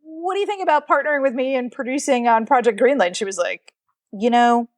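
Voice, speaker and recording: A woman chatting casually as a podcast guest, telling stories in an animated way and acting out bits of dialogue. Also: sits high, fast, no room sound. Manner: animated storytelling with quoted dialogue. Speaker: film producer, podcast guest. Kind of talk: casual group conversation.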